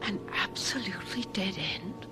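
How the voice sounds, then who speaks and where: A woman's voice: medium pitch, lively intonation, moderate pace, lightly acted. Radio mystery lead: actress as desperate middle-aged mother, mid-range voice, dramatic and insistent, music behind. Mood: anxious, insistent. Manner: dramatic, urgent. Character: desperate, sharp-tongued mother caught in a bewitching.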